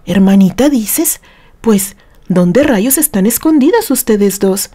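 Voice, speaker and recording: A woman playing a gruff, kindhearted man. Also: medium pitch, expressive, slow, mellow. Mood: gruff, scolding. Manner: folksy, scolding yet tender. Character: gruff but kindhearted man, exasperated rescuer of children. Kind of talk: audio story character acting.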